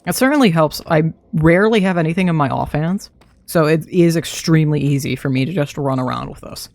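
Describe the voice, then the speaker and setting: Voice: mid-range voice, a little fast, gravelly, darkish tone, no room sound. A young adult streamer chatting casually to themself while playing a game, laughing often, throat a little dry. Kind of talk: casual live gameplay commentary.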